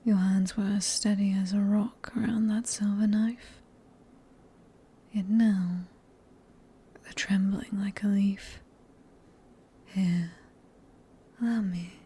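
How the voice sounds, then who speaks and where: Woman acting as cruel female vampire, British accent, very breathy whisper, mellow tone, genteel, teasing, manipulative, ASMR roleplay. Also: medium pitch, steady tone. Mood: mocking curiosity. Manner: slow, teasing, condescending, genteel. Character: sadistic, possessive female vampire, silkily polite and manipulative.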